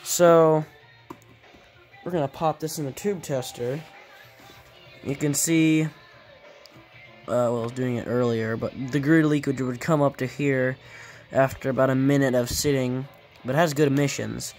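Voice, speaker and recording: A man talking casually off the cuff like a hobbyist repairer showing his work, halting and correcting himself as he thinks aloud. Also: mid-range voice, steady tone, darkish tone, soft background music. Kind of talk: casual off-the-cuff workshop vlog. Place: indoor workshop at a cluttered bench.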